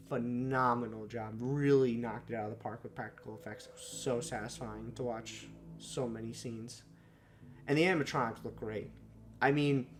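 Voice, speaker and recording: A young man with a slightly sore, raspy throat, giving unscripted first impressions of a movie, rambling with lots of fillers and self-corrections. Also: mid-range voice. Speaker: movie reviewer.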